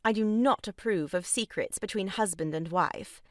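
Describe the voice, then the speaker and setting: A woman's voice: mid-range voice, moderate pace, no room sound, studio quality, close to an everyday voice. An actress playing a prim, moralising married lady, reproving and emphatic, in a period farce audio drama. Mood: righteous reproach.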